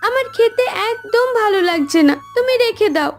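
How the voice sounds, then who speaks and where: A very high, plaintive cartoon voice from a woman playing a gentle young new bride. She pleads softly and sounds easily hurt, with music behind her. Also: animated, moderate pace. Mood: tearful, hurt. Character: young new daughter-in-law, gentle, hurt, eager to please. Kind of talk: Bengali cartoon dialogue acting. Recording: background music under voice.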